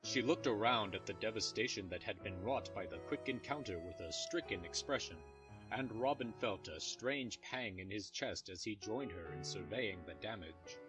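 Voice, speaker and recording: A man's voice: on the low side, moderate pace, music in the background, rough recording. A man reading scripted third-person fantasy narration as the narrator of an audio drama.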